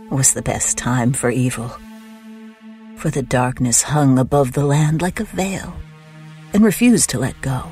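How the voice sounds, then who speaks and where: A woman playing a sinister, patient witch in a dark horror tale, speaking deliberately and dropping into hushed whispers. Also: low voice. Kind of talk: dramatic first-person horror storytelling.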